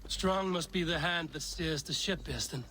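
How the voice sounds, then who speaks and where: A man's voice: on the high side, moderate pace, background noise, close to an everyday voice. A man playing a stoic, blunt Norse warrior in a video game, speaking in terse, dry lines. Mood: measured, blunt. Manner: terse, plain-spoken, dry.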